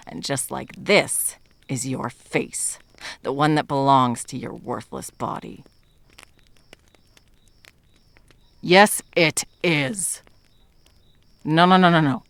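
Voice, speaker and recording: An intense female monster hunter, acted by a woman for an ASMR roleplay. Her voice is gravelly and creaks at the edges. She speaks with deliberate, dramatic menace and open scorn. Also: very deep voice, fairly bright tone, no room sound, full character voice. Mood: furious, scornful.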